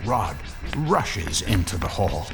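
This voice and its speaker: An actor playing an ominous storyteller, narrating a horror drama in a suspenseful, deliberate manner. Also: male voice, sits low, very animated, loud music behind the voice, full character voice. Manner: suspenseful, deliberate, drops toward whispers.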